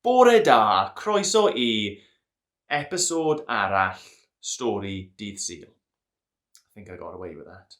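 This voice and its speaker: Man, children's story host, playful, lively pitch, slow delivery.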